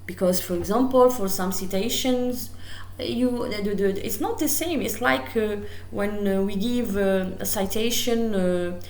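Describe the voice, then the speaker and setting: A woman's voice: medium pitch, a little slow, a little mellow, echoey room, a little hiss or hum. A woman with an Algerian accent, a native Arabic speaker, giving a university English lecture with frequent fillers and restarts. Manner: hesitant, many fillers and restarts. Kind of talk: recorded lecture.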